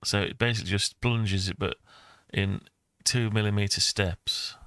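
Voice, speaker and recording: A man's voice: on the low side, steady tone, normal speed, bright, forceful tone, dry recording. A man in his sixties giving a casual screen-recorded tutorial, rambling and thinking aloud as he goes. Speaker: maker and video host.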